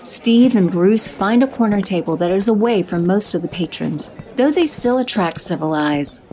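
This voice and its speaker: Mellow-voiced woman narrating an audio drama. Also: medium pitch, expressive, normal speed, slight background noise, low-quality recording.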